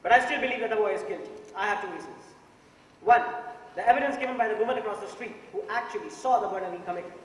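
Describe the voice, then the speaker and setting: A man playing a methodical juror in an amateur stage play, laying out his argument deliberately. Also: high-pitched, a bit bright. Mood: firm, methodical. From India. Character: methodical male juror arguing firmly for guilt. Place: theatre stage, recorded from the audience. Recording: noisy echoey hall, far from mic.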